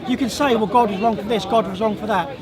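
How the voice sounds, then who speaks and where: A man with a high voice that has gone hoarse, pressing his points in a street debate. He talks insistently and doubles back on words when he is cut off. A crowd murmurs clearly around him in an open public park. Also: fast talker. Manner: insistent, argumentative, repeats himself when cut off. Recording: outdoor crowd noise.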